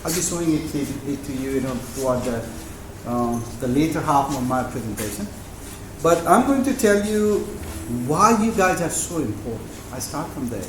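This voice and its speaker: Male guest lecturer, loud and conversational, higher register, recorded in a roomy hall. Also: animated, moderate pace, recorded from a distance. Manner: loud, chatty, many "you know" fillers. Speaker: guest speaker. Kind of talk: informal lecture to students. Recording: echoey hall with noise.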